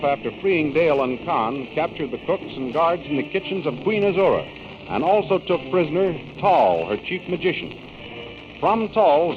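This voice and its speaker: A man with an American accent narrating a 1930s radio adventure serial in a formal, theatrical announcer style. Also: a little high. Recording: noisy, dull vintage radio broadcast recording.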